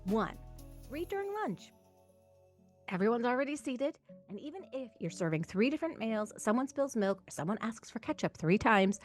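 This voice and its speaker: Woman presenter for parent tutorial, medium pitch, reassuring and emphatic, faint music underneath. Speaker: reading tutor and host. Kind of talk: instructional talk to camera for parents.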